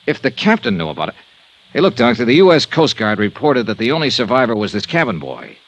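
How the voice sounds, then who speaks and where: An actor playing a hard-boiled insurance investigator with a clipped, pressing way of talking, in a 1950s radio drama. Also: male voice, mid-range voice, big pitch swings, quick pace. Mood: urgent. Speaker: star of the show. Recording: old radio broadcast recording.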